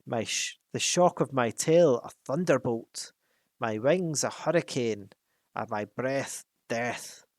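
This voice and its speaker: A man voicing a booming boastful old dragon. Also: animated, dry recording, studio quality. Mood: gloating. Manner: gloating, booming, slow menacing delivery. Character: huge boastful wicked old male dragon. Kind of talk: storybook reading.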